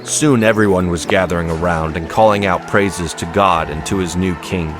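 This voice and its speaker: A man's voice: sits low, steady tone, moderate pace. A man reading a story as a dramatic audiobook narrator. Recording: music behind the voice.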